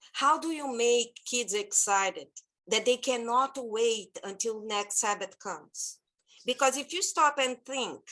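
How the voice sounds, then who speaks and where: A woman's voice: on the high side, pushed and bright, rough recording. A woman with a Brazilian accent leading an online church training over a laptop video call, chatty and loose, with many fillers. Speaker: children's ministry trainer.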